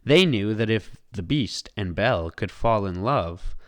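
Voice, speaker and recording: A man reading a children's storybook aloud as scripted, expressive narration. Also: lowish voice, measured pace.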